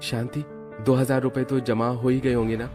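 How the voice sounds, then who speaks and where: A man acts a poor, anxious husband in a moral cartoon. He is kindly and reassuring, in a medium-pitched voice with soft music behind. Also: flat delivery, normal speed, natural voice. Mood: hopeful. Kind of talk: Hindi moral cartoon voice acting.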